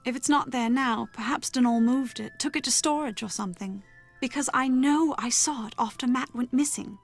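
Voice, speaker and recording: Proper bride-to-be, higher voice. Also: female voice, moderate pace, soft background music. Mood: insistent, worried. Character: well-bred young bride-to-be, heiress to a castle. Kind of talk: video game voice acting.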